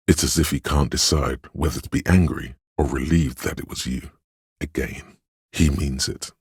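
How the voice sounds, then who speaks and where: A sombre, knowing inner voice of empathy for a narrative video game. It is an exceptionally deep male voice, thick with husk and rasp, recorded dry and close. The delivery is measured, gentle and weighty, as if confiding in a troubled detective. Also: no room sound, exaggerated voice. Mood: gentle, encouraging.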